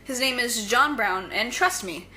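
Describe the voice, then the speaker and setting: Young villain actress, audio drama: playful, flippant, animated bright voice, casual naturalistic banter, faint noise. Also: female voice, a little high, measured pace, full character voice. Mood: teasing. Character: breezy, teasing young bank-robbing shapeshifter villain.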